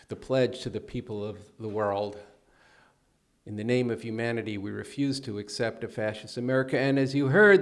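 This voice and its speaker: A man hosting a political talk show, speaking earnestly and emphatically. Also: mid-range voice. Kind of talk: political commentary.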